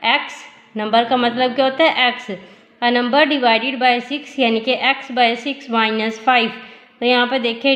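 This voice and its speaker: Hoarse woman teaching math. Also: on the high side, fairly bright tone. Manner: asks questions and answers them herself. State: slightly hoarse from a sore throat. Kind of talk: step-by-step classroom explanation. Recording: echoey room.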